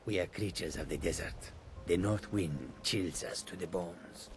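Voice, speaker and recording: An actor playing a weary, homesick cat-folk traveller in a fantasy game, with measured speech. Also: male voice, deep voice. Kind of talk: fantasy video game dialogue. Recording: noisy outdoor game ambience.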